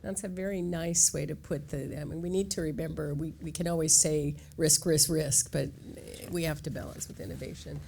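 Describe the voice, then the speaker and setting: A woman's voice: low voice, steady tone, moderate pace, vocal fry, few pauses, a little mellow, a little hiss or hum. A woman moderating a conference panel, upbeat and enthusiastic as she hands questions to the panelists.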